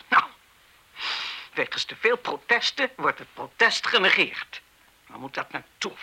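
A woman's voice: mid-range voice, pushed and bright, breathy edge, exaggerated voice. A woman playing an embittered, guilt-ridden retired schoolteacher in a radio play, stern and lecturing in manner. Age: older. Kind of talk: Dutch radio play acting.

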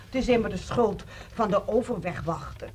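A woman's voice: medium pitch, animated, moderate pace, creaky, a little mellow, slight background noise. An actress playing a vain, gossipy woman gushing breathlessly down the telephone in a radio play. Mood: agitated, gushing. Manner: breathless gossipy chatter, self-absorbed. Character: vain, gushing woman excited at having witnessed a disaster. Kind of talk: radio play acting, one side of a phone call.

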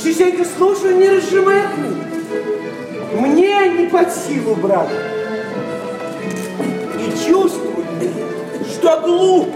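A man's voice: extremely high pitch, expressive, slow, long pauses, exaggerated voice. An actor playing an effusive, self-abasing, boastful man in a verse radio play, declaiming dramatically. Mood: excited boasting. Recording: echoey hall, distant, music behind.

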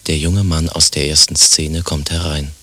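A man narrating stage directions in a German radio play in a deadpan, scripted delivery. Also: very deep voice, normal speed, slightly rough, full character voice. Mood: matter-of-fact.

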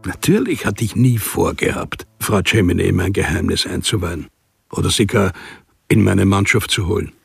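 An actor playing a calm, dangerous archivist who organises killings, speaking in a measured, formal way in a radio play. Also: male voice, deep voice, creaky, husky, no room sound, exaggerated voice. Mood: reflective, measured. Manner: measured, formal, matter-of-fact. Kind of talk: radio play acting and first-person narration. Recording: music behind the voice.

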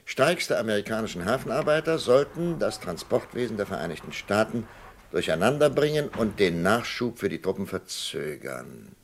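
An actor playing a commanding ex-spymaster boss in a German radio crime drama, authoritative but halting with small hesitations. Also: male voice, lowish voice, very animated, gravelly, a little hiss or hum. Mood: deliberate dictating. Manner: authoritative, hesitant pauses with filler sounds. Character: commanding male boss, ex-spymaster dictating his memoirs.

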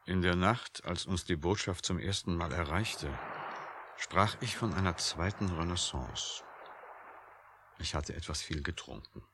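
Deep-voiced weary visionary scientist. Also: male voice, measured pace. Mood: reflective. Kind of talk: 1980 German radio drama acting. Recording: clear noise behind the voice.

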